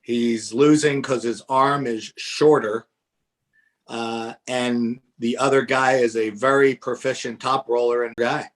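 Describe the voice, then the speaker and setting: A man's voice: mid-range voice, steady tone, slow. A man with a mid-range voice chimes in as co-commentator, sharing blunt opinions on technique in a casual, talky way. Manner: opinionated, conversational. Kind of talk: live sports commentary.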